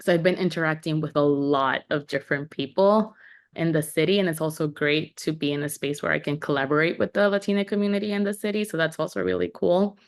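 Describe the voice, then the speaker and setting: A woman's voice: sits low, steady tone, fast talker. A young woman from Puerto Rico, a podcast guest who talks in an upbeat way and laughs often. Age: young adult. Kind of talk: podcast interview.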